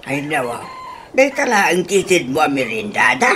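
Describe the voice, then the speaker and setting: A woman's voice: sits low, big pitch swings, normal speed, fairly bright tone, slight background noise. A woman acting as a grumbling, concerned elderly father in a comedy radio drama, fretful and chiding.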